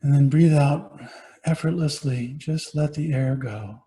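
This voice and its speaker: A man guiding a meditation as a teacher, giving gentle, unhurried instructions with pauses between phrases. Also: on the high side. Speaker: meditation teacher, Buddhist monastic. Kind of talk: guided body scan meditation.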